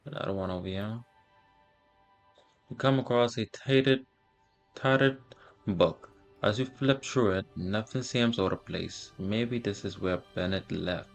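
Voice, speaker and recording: Low, gravelly male gamer, halting delivery. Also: soft background music. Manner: halting, stumbles while reading aloud. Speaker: gaming streamer. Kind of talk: casual game walkthrough, reading on-screen text aloud.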